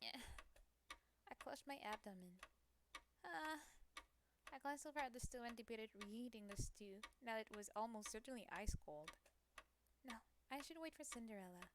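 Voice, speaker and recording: A woman's voice: fairly high pitch, background noise. A woman streamer reading a visual novel aloud, acting out the lines and adding her own asides. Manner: acts out lines with ad-libbed asides. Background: game music.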